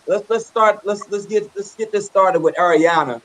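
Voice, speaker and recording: Higher-voiced man hosting a show. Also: moderate pace, noisy background. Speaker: host. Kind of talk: casual interview chat.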